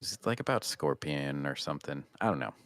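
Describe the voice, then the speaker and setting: Very deep-voiced man. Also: animated, a little fast, fairly bright tone, no echo, studio quality. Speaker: podcast co-host. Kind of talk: casual chat.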